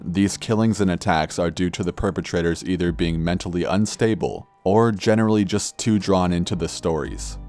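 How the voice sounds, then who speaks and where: Male narrator for scripted true-crime horror, very low register, measured and somber, music bed underneath. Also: darkish tone.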